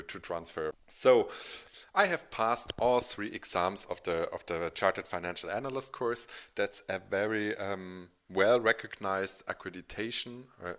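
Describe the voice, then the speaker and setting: A man's voice: on the low side, fairly bright tone. A man from Germany with a German accent, a native German speaker, giving an informal explanatory presentation as a financial educator, with hesitant, self-correcting phrasing. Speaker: financial educator and channel host.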